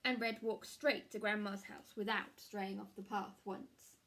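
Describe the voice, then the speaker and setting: A woman in her thirties, a primary teacher reading a story aloud to children in a measured, explanatory way, recorded in a small room. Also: mid-range voice, little pitch movement, fairly bright tone, a little hiss or hum. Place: small room at home, indoors.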